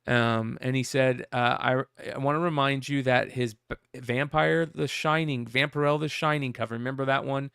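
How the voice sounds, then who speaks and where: Gravelly, smooth-voiced male show host. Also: medium pitch, steady tone, normal speed, fairly bright tone, dry recording, clean studio sound. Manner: rambling, reads out a message in plain voice. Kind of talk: casual comic news talk.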